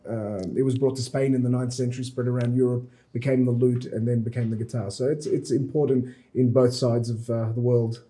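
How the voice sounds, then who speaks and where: A man raised in Australia, a musician guest explaining his instrument in a relaxed, explanatory interview manner. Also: mid-range voice, brisk, few pauses. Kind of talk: interview conversation.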